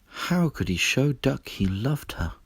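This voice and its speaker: A man narrating a children's story in an expressive, deliberate storytelling manner. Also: mid-range voice, husky, no echo, clean studio sound. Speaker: storybook reader.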